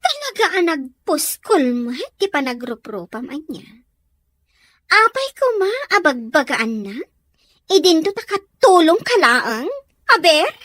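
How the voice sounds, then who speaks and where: Spoiled, defiant schoolgirl, played by a woman, audio drama: very high, swooping delivery, picks fights. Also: big pitch swings, a little slow, a bit bright, no room sound, full character voice. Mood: snappy, scornful. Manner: snappy, confrontational. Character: spoiled, quarrelsome young schoolgirl daughter, rude and defiant. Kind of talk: Ilocano audio drama acting.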